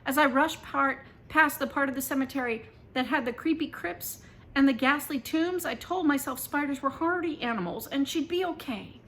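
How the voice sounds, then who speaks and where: A woman reading a first-person children's story aloud in an expressive, dramatic and emphatic way. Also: high-pitched, normal speed. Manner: animated, dramatic, emphatic delivery.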